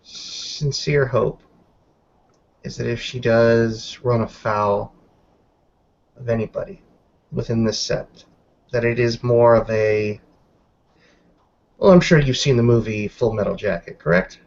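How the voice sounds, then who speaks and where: A man's voice: medium pitch, slow, gravelly, pauses often, a little mellow. A man roleplaying a guarded female werewolf newcomer in a tabletop game, choosing formal words with careful hesitations. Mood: measured, cautioning. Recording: dull lo-fi voice recording.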